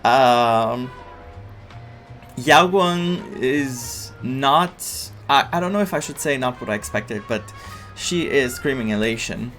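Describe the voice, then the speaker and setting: A man giving casual, excitable let's play commentary as a game streamer. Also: mid-range voice, slow. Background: game music.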